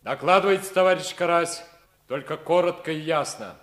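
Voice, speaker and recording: A man playing an authoritative but good-humored army lieutenant colonel, brisk and paternal, in a 1950s Soviet radio play. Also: on the high side, fairly bright tone, close to an everyday voice. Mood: wry, commanding.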